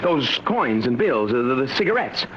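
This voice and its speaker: An actor playing a bewildered young American academic who stammers in disbelief, in 1950s radio drama style. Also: male voice, medium pitch, big pitch swings, normal speed, a bit bright. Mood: pleading. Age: 20s. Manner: stammering, incredulous. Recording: noisy muffled old radio recording.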